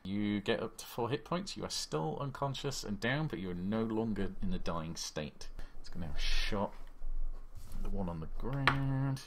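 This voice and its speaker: Deep-voiced male game master. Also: expressive, moderate pace, background noise. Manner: vivid, blow-by-blow descriptions with sound effects. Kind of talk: narrating a tabletop horror game.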